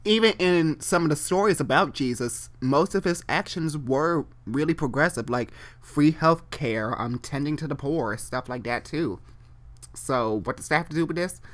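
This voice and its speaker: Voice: mid-range voice, a bit bright. A person reading fan fiction aloud live, stumbling and repeating phrases, and breaking off for chatty, informal asides. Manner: halting, repeats and corrects phrases while reading. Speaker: online storyteller.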